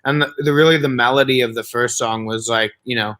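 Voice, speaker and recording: A man at mid pitch gives a songwriting performer feedback as a showcase judge. He rambles in loose, filler-packed sentences and stays warmly encouraging throughout. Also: steady tone, a little fast. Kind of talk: casual spoken feedback.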